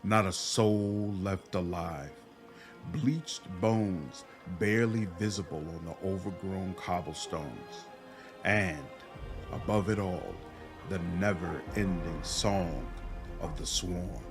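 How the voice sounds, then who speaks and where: A man's voice: low-pitched, takes it slowly, music in the background. A man narrating a scripted voice-over as a gaming channel host.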